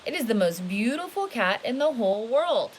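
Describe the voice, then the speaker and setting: A woman voicing a very old man, tender and admiring, with a gentle, exclaiming manner in a storybook. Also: fairly high pitch, very animated, moderate pace. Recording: hissy home recording.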